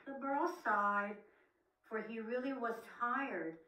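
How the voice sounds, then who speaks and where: A woman's voice: on the high side, slow pace, sharp tone, slight background noise. A woman in her seventies reading a children's picture book aloud indoors, some distance from the camera. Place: indoor room with plain wall. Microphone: camera a few feet away. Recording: echoey room, distant microphone.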